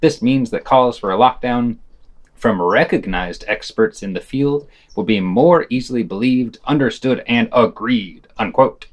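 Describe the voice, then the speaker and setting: A man's voice: mid-range voice, a little slow, no echo. A man reading scripted essays and news commentary as a podcast host.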